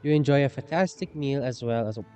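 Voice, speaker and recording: A male game streamer with a mellow, dark voice at medium pitch. He muses aloud and reacts casually, repeating himself, over faint music. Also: fast. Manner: musing aloud, repeats phrases. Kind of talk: live gameplay commentary, casual reactions.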